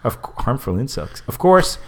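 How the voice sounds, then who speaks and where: Man, podcast host, casual chat, wry, laughs often, average pitch. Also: very animated, moderate pace, a bit bright. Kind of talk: casual podcast chat, reading news aloud.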